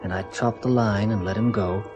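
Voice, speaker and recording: A man's voice: fairly low pitch, normal speed, dull audio, music in the background, close to an everyday voice. A man playing a detached, unemotional astronaut in a 1970s radio drama. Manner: flat, remote. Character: detached, cool male astronaut.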